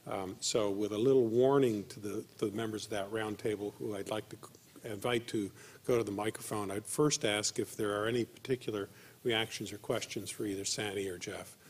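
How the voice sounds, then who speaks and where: A man's voice: lowish voice, fairly even intonation, brisk, slightly rough. A man in his sixties moderating a panel from a podium mic in an auditorium, courteous and hesitant with many fillers.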